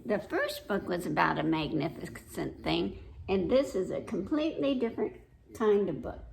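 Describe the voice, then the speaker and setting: Lady doing kids storytime, slow pace, bit creaky voice, some noise in the background. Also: medium pitch. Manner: simple asides and questions to child listeners. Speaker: children's library storytime host. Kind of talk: read-aloud storytelling to young children.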